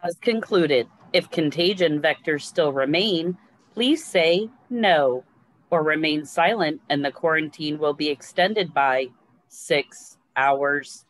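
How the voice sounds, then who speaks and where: A woman's voice: low-pitched, slow pace, dry recording, rough recording. A woman playing an impassive colony computer, making formal procedural announcements in a screenplay table read. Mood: neutral, procedural.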